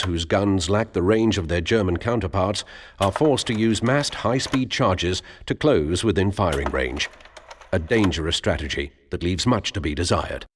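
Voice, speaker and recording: A man narrating military history in a measured, grave, formal documentary style for a game. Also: sits low, noisy background, lightly acted. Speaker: narrator of war history briefings.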